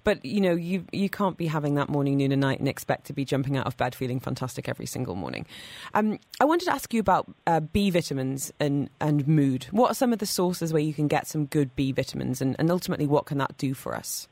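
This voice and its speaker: A woman hosting a radio talk show, her voice sitting a little low. She chats in an upbeat way and laughs easily. The studio sound is completely dry and close. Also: lively intonation, fast, darkish tone, no echo. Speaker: radio host.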